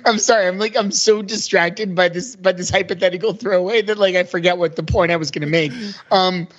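A man's voice: high-pitched, fairly even intonation, fairly quick. A middle-aged man talks as a panelist in a casual chat. He presses his points emphatically and checks for agreement as he goes. The recording is slightly dull and lo-fi. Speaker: podcast panelist.

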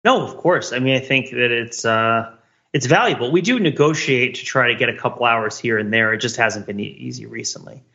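Male podcast co-host, casual banter, mid pitch with a bright edge and plenty of vocal fry. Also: a little fast, dull audio.

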